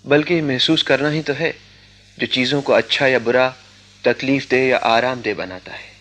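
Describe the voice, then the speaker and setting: A man acting a stoic, earnest young man in a radio drama, speaking in a measured, philosophical way. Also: medium pitch, a bit bright, close to an everyday voice. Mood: resigned, philosophical.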